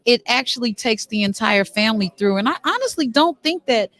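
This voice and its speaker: High-pitched effusive woman interviewer. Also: animated, a little fast, a bit bright. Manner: effusive, encouraging. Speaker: show host and interviewer. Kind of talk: on-location interview.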